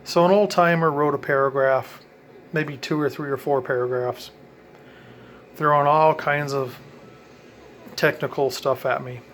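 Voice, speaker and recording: A man's voice: a little high, fairly even intonation, a little slow, long pauses, fairly bright tone, slight background noise. A man in a casual how-to vlog, chatting about his radio setup with lots of ums and self-corrections. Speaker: hobby radio vlogger.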